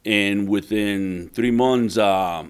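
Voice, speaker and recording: A middle-aged man from Puerto Rico, a chef and entrepreneur, telling his story in a relaxed, rambling way full of "uh" and "you know". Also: on the low side, extremely slow, smooth. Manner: rambling, many "uh" and "you know" fillers and repeated words.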